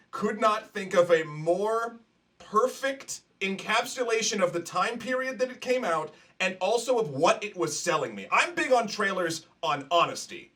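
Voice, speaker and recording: A man's voice: high voice, sharp tone. A man guesting on a podcast remotely from his apartment, chatting casually with an enthusiastic, jokey manner. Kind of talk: casual chat. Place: at home in an apartment. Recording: remote call, distant from the mic.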